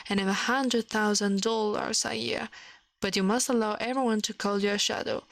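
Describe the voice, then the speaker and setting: A woman's voice: on the high side, no room sound, natural voice. A woman voicing a smug, scheming male shadow in a storybook reading, imperious and falsely reasonable.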